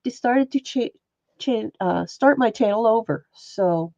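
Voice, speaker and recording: Slow-talking stuffed-up woman hosting a stream. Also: fairly high pitch, animated, creaky, a little mellow, no echo, muffled recording. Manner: chatty, greets viewers by name. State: stuffed-up, sniffly nose. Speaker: livestream host and textile artist. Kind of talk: casual livestream chat while showing stitching.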